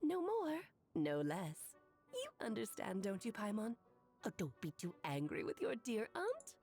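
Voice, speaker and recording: A woman's voice: mid-range voice, huge pitch swings, measured pace, a little mellow. A woman voicing a playful, theatrical aunt who is a powerful mage in a video game, teasing and affectionate. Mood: teasing, coaxing. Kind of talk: scripted game character acting. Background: game music.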